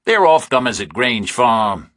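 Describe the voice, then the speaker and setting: A man's voice: mid-range voice, big pitch swings, unhurried, fairly bright tone, no echo, studio quality, pitched above the performer's own voice. A man voicing blunt, impatient farmers from England, speaking. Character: gruff rural English farmers, older men.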